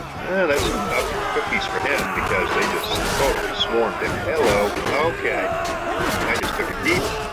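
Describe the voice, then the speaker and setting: A man giving excited live commentary over his gameplay, talking to his viewers and shouting during fights. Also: fairly low pitch. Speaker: gaming channel host. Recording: game music behind voice.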